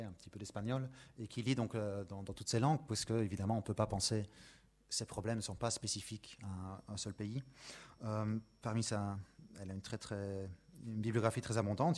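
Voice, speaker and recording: Man, seminar moderator, lowish voice, hesitant, lots of fillers, introducing academic speakers. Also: darkish tone. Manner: hesitant, many fillers and restarts.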